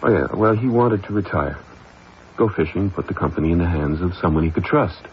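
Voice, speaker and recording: An actor playing an evasive, haughty company heir in a halting manner, in an old-time radio drama style. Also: male voice, low voice, lightly acted. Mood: earnest, brooding. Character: evasive, smug young company heir, accusing his stepmother. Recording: muffled old radio recording, faint noise.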